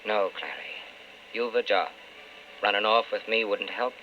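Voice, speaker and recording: A man's voice: fairly low pitch, lively intonation, unhurried. An actor playing a principled, wistful man in love, speaking earnestly in an old-time radio drama. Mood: resigned. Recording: muffled old radio broadcast with hiss.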